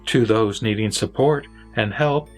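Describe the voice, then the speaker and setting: A man reciting verse scripture as a dramatic scripted reading, with solemn, measured phrasing and pauses. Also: on the low side. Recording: background music under the voice. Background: music.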